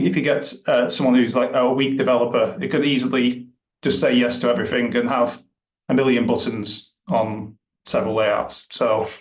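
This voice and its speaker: A man from the UK with a British accent, presenting his software at a meetup over a video call, hesitant with many fillers and restarts. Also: medium pitch. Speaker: presenting developer. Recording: distant, roomy, dull sound.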